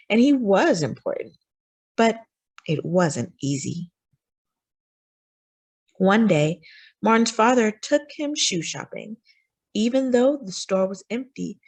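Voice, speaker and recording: A woman reading a children's picture book aloud as a story time host, with expressive, deliberate phrasing for young listeners. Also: medium pitch.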